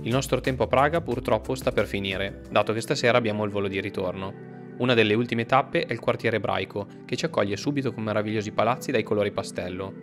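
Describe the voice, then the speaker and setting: A man's voice: lowish voice, quick pace. A man narrating a travel vlog from a script. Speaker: travel vlogger. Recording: music under the voice. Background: background music.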